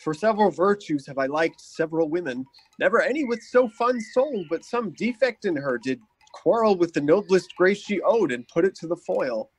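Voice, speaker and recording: A man's voice: high voice, animated, normal speed, few pauses, slightly rough, poor audio. An actor playing an ardent, gallant young nobleman in love in a Shakespeare radio play, earnest and courtly. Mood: adoring wonder.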